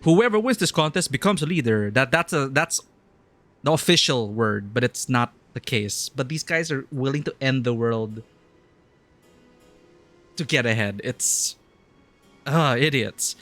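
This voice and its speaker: A man's voice: medium pitch, animated, a little slow, long pauses, a bit bright, soft background music. A man casually chatting while streaming a video game, laughing often and sometimes putting on mocking villain voices. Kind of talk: casual live-stream commentary.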